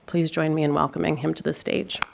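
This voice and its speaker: A woman's voice: sits low, flat delivery, fairly quick, gravelly, over a noisy crowd, heavy background noise. A woman hosting an event, reading a prepared introduction of the speaker at a lecture. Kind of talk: read introduction. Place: lecture hall.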